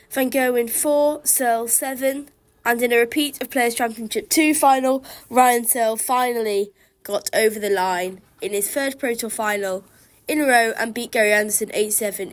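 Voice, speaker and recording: A woman's voice: fairly high pitch, dry recording. Congested woman hosting a podcast. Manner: chatty, enthusiastic darts fan. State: congested from a cold. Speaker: podcast host. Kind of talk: solo podcast recap. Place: outdoors.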